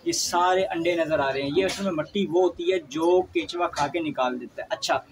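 A man with a Pakistani accent giving an informal how-to vlog, explaining emphatically and repeating himself. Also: high voice. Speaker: angler presenting a fishing tutorial. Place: outdoors in a park, later another spot after leaving it. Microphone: phone camera, some distance away. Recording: distant mic, noisy ambient background.

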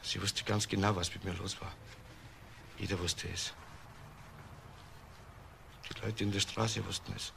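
Sharp, gravelly lovelorn suspect. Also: male voice, deep voice, little pitch movement, measured pace, long pauses, full character voice. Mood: wistful, subdued. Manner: emphatic, confessional, dramatic. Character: intense, bitter, lovelorn male murder suspect. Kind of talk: German crime radio drama acting. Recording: faint background noise.

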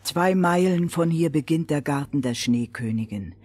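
A woman playing a calm, wise woman of magic in a fairy-tale audio drama, deliberate and solemn. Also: sits low, slightly rough. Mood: solemn, knowing.

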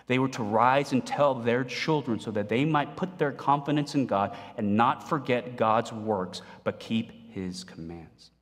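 A man in his forties preaching a sermon from a pulpit, animated and playful, asking the congregation questions. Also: medium pitch, a little slow, hardly any pauses. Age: 40s. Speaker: pastor. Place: church stage at a pulpit, indoors. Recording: long reverb of a hall.

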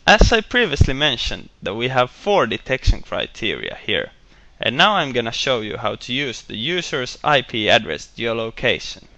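A man walking through a software screencast, explaining each step in a steady, matter-of-fact way with bits of enthusiasm. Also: mid-range voice, normal speed. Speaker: software tutorial presenter. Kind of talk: screencast walkthrough narration.

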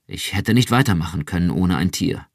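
A man voicing a cautious husband who speaks carefully and quietly pleads. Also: sits low, dry recording, studio quality. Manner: careful, measured.

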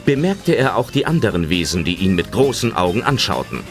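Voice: sits low, sing-song, brisk, over music. A narrator telling a children's adventure audio drama in dramatic scripted narration.

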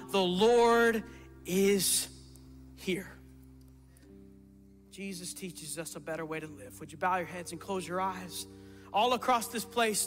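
A middle-aged man preaching a sermon as pastor, emphatic and repeating words for stress. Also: very high voice. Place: church stage before a congregation. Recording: reverberant hall with soft music.

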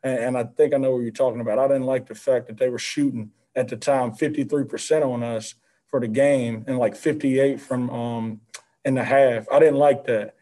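A basketball head coach in his thirties speaks in a mellow, warm voice, earnest and measured. He leans on fillers as he works through his answers over a video call. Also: mid-range voice, fairly even intonation. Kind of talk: postgame press conference answers. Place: indoor press backdrop room. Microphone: video call, seated at desk with mask.

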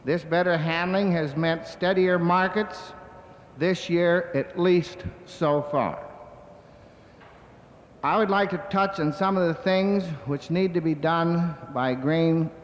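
A man giving a prepared convention speech in a measured, formal way, as an executive of a grain cooperative, on a 1970s recording. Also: fairly high pitch. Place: large convention hall. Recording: dull, echoey hall recording from 1970s.

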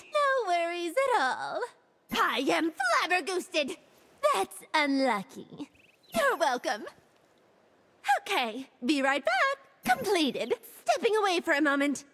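A woman's voice: very high voice, fairly bright tone, full character voice. A woman voicing a cutesy, playful young goose-themed gorgon for a video game, punning in a sing-song, cheery manner. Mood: sweet, cheery. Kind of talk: video game character voice lines.

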